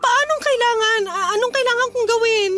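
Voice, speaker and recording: A woman's voice: very high-pitched, a little fast, bright, forceful tone. An actress playing a frightened young woman, a worried daughter, in a Tagalog radio drama with a Filipino accent, tearful and pleading. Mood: frightened, panicked. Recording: music behind the voice.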